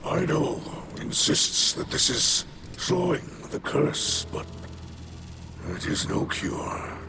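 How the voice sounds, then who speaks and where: An actor playing a weary, sick alien elder who speaks solemnly and formally with a breathy voice. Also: male voice, a little high, expressive, slow pace, music in the background. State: weak from illness. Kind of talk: game cutscene acting.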